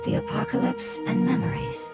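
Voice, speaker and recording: A woman voicing a wise, solemn little fungus creature in a video game, with formal, deliberate phrasing. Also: lightly acted. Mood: pondering. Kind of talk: scripted video game voice acting. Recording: loud music behind game dialogue.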